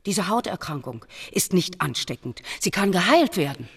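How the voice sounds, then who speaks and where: An actress playing a young monk and healer, learned, earnest and resolute, in a radio drama. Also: female voice, on the low side, very animated, moderate pace, fairly bright tone, breathy edge, full character voice. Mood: firm, pleading. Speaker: actor.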